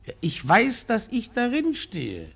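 Radio play role: a panicky, hypocritical moralist politician, husky, stammering and evasive, music behind. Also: male voice, high-pitched, wildly swooping pitch, slow pace, fairly bright tone, full character voice. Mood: agitated, despairing. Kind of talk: classic German radio drama acting.

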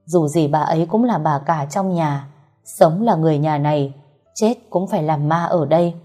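Woman putting on a brusque village master, storybook telling, mellow tone. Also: low-pitched, normal speed, soft background music. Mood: grave. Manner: snapping, scolding. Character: gruff middle-aged male landlord, short-tempered.

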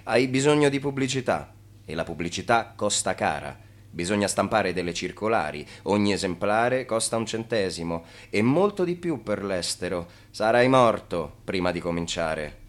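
An actor playing a knowing narrator in radio theatre, addressing the hero in a pointed, matter-of-fact way. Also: male voice, lowish voice, animated, moderate pace, close to an everyday voice. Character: knowing narrator laying out the schemer's life.

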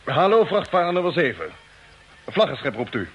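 A man acting a brisk, commanding spaceship captain in a radio drama, speaking with urgency. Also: fairly high pitch, wildly swooping pitch, breathy edge, dull audio, exaggerated voice. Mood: urgent, puzzled. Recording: old radio recording with background noise.

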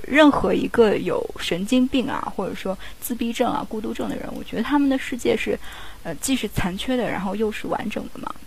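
Creaky, hesitant speaker in casual chat. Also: mid-range voice. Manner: hesitant, searching for words. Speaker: audio drama scriptwriter, interview guest.

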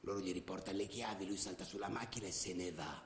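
An actor playing a boastful, hot-headed football ultra telling of his old brawls in a colloquial radio drama monologue, swinging from loud outbursts to low asides. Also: fast, slight background noise. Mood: heated storytelling. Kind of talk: dramatised first-person monologue, colloquial storytelling. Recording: roomy radio drama recording.

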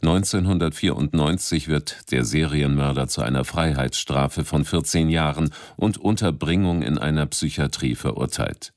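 A man reading a scripted radio drama introduction in a measured, formal announcer's manner. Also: a bass voice, darkish tone, no echo, clean studio sound. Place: dry studio.

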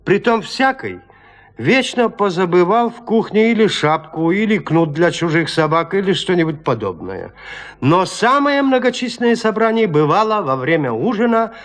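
A man narrating a classic literary tale in an audio drama, measured, with deliberate pauses. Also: sits high, very animated.

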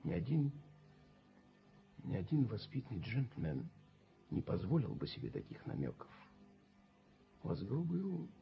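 An actor playing a prim, easily offended snob in a radio play, pompous and moralizing in an affected way. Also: male voice, medium pitch, huge pitch swings, unhurried, creaky, long pauses, exaggerated voice. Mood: huffy. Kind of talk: Soviet radio play acting. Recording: muffled 1970s radio drama recording.

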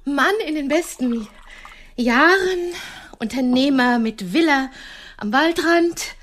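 An actress in a radio drama playing a wary, proper lady, asking direct questions in a husky voice. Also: high-pitched, expressive, slow, a bit bright, full character voice. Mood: wary, probing. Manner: prim, direct, probing.

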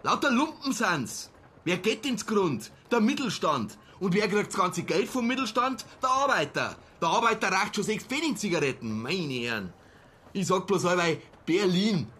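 An actor playing a grumpy, xenophobic Bavarian ex-butcher in a radio play, blustering and grumbling in dialect. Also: male voice, high voice, very animated, moderate pace, bright, forceful tone, slightly rough, heavily acted. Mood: blustering, indignant. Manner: blustering, grumbling, rhetorical questions.

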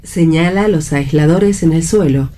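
A woman with an Argentine accent reading scripted stage directions for a radio play in a measured, descriptive manner. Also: low voice, dark tone.

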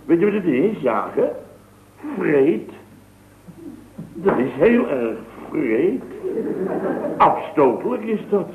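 A man spins a rambling, mock-pompous tall tale with a dry delivery, his voice set a touch high for a man. It comes through a thin, phone-like old radio broadcast with a clear hiss underneath and a roomy echo. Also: big pitch swings, slow, pauses often. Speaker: comic storyteller. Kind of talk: scripted radio comedy sketch.

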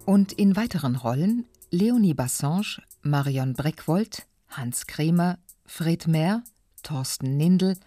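Woman announcer, low voice, slow, dry close sound, faint music. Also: dry recording. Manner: calm, even credit reading. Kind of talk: reading radio play credits.

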